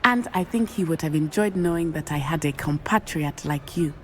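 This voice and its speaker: A woman playing an earnest, determined teenage schoolgirl witch in a video game, with a southern African accent and a measured, formal way of talking. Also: deep voice, close to an everyday voice. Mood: resolute. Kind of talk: video game character acting.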